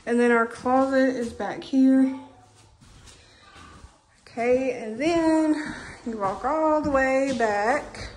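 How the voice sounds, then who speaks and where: A woman's voice: high-pitched, darkish tone, slight background noise. Bubbly young woman touring a home. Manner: bubbly, giggly, quick tour narration. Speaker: vlogger giving a house tour. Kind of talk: casual chat. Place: empty new house, indoors. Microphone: handheld phone camera.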